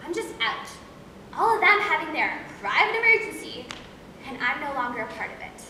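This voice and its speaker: A woman's voice: high voice, sing-song, normal speed, sharp tone, exaggerated voice. A woman acting a lonely, wistful girl in a confessional, reflective amateur stage monologue. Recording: echoey hall, distant mic, noisy.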